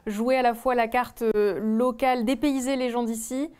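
A woman's voice: fairly high pitch, steady tone, moderate pace, darkish tone, clean tone. A woman in her thirties presenting and asking questions in a regional television interview. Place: indoors in a restaurant and wine shop.

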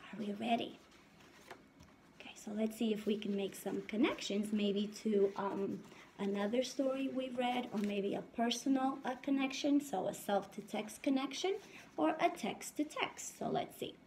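A woman in her thirties, a first-grade teacher reading aloud to young children and asking them questions in an animated, instructive way. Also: a little high, moderate pace, a little hiss or hum, poor audio. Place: indoors in a home room.

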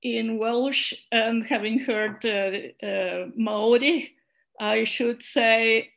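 A woman's voice: fairly high pitch, very slow, gravelly, fairly bright tone. A woman whose first language is Catalan, giving a hesitant conference presentation over a video call with many fillers and restarts. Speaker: conference speaker, network secretary general.

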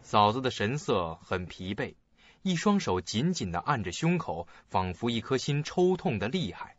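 A man narrating a radio drama in an expressive, suspenseful, scripted style. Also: mid-range voice, moderate pace, no echo. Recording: dull lo-fi audio, faint music.

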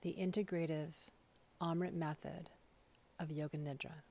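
A woman leading a guided meditation in a soothing, deliberate manner, with phrases that trail off softly. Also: low voice, steady tone. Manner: soothing, slow and deliberate with soft, breathy phrase endings. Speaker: yoga nidra guide.

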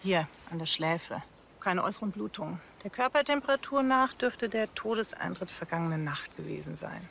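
An actress playing a dry, sardonic forensic pathologist in a TV crime comedy, matter-of-fact and unimpressed. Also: female voice, lowish voice, lively intonation, moderate pace, vocal fry, fairly bright tone, a little hiss or hum. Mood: clinical.

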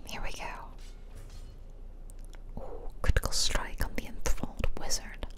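A woman giving whispered ASMR game commentary with playful, casual asides, recorded close to the microphone. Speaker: gaming streamer. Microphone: close ASMR microphone, ear to ear.

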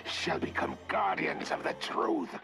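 An actor playing a deranged, vengeful terrorist leader in a video game, grandiose and archaic in his phrasing, gravelly and sometimes breathy. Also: lightly acted. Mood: solemn, brooding. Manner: grandiose, archaic phrasing, slow solemn declaiming. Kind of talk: theatrical video game villain acting. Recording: loud music over the voice.

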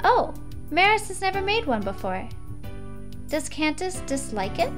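A woman voicing a cheerful, energetic, childlike girl from a visual novel, bubbly in manner. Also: high voice, unhurried, soft background music. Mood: excited, eager. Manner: bubbly, speaks of herself in third person.

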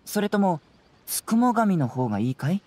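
A man voicing a youthful, confident detective in an anime game, speaking in a measured, analytical, explanatory way. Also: high voice, very animated, dark tone. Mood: calm, firm. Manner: measured, explanatory, self-assured. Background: faint background music.

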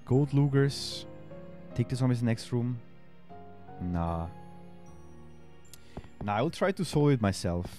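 A German-accented man in his thirties streams a horror game, chatting and thinking out loud into a headset mic in a small room at home. His voice sits fairly low. Faint music plays under his talk. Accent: German-accented English. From Austria. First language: Spanish. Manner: chatty, thinks aloud, reads game text, answers chat. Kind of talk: casual let's-play commentary. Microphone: headset mic at a desk.

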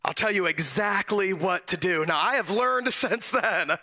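A hoarse man in his forties preaches from a large church platform, holding a handheld mic. His voice sits high and sounds bright and forceful even through the raspiness. He tells his story in a lively, animated way. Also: measured pace. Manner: animated, laughing, gesturing while telling a story. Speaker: guest preacher. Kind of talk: storytelling sermon intro.

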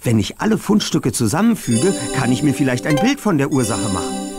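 An actor playing a precocious, analytical boy detective in an adventure game, speaking precisely and matter-of-factly with a husky voice. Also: medium pitch, very animated, fairly quick, loud music behind the voice, close to an everyday voice. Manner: precise, articulate, matter-of-fact. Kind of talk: scripted game dialogue.

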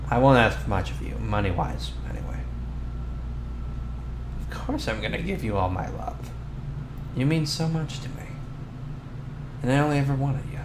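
A young man playing a flustered, tough-talking bully with a soft crush in an ASMR boyfriend roleplay, stammering and halting bashfully. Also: medium pitch, lively intonation, close to an everyday voice. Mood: tender, sincere. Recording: roomy echo, noisy ambience behind.

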